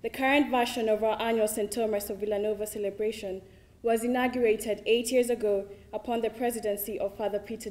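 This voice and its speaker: A young woman from Kenya with a Kenyan accent, a student giving a formal, measured introduction of a lecture speaker. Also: medium pitch, darkish tone. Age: young adult. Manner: measured, read from a script.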